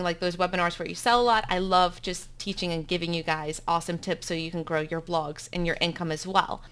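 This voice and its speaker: A woman's voice: fairly low pitch, fairly bright tone, clean tone. A woman in her thirties from South Africa, Afrikaans her first language, with a South African accent, teaching bloggers in a chatty, upbeat live webinar from home, with frequent ums.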